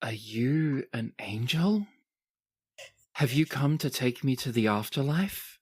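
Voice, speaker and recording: A man playing a shaken, grieving ship captain in a tabletop game, with halting, bewildered questions. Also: mid-range voice, expressive, breathy edge, pitched above the performer's own voice. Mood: dazed wonder. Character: shaken, dazed revived male ship captain, grieving father.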